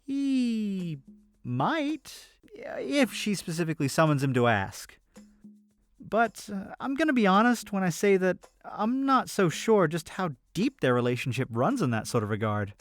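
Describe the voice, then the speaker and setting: A woman's voice: fairly low pitch, sing-song, unhurried, dry recording, soft background music. A woman playing a candid, protective and skeptical adventurer in a fantasy audio drama.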